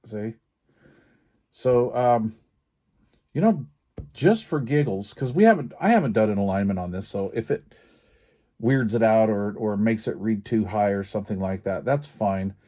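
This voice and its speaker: A man casually talking through a hands-on repair demonstration, informal and folksy, thinking aloud in a halting way. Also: fairly low pitch, warm tone. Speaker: radio repair technician and video host. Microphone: handheld camera while working.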